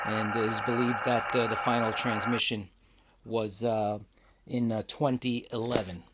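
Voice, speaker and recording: Halting man hosting a radio hobby channel. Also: fairly low pitch, level tone, background noise. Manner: halting, many filler "uh"s. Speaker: ham radio channel host. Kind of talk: casual explainer talk.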